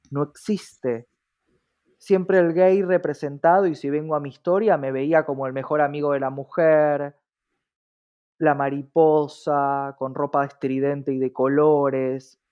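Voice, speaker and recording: Higher-voiced, earnest Argentine man, slightly dull sound. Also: unhurried, darkish tone, clear, resonant tone. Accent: Rioplatense Argentine Spanish. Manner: earnest, emphatic repetition and rhetorical questions. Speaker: interview guest, diversity specialist. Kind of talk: reflective explanatory interview talk.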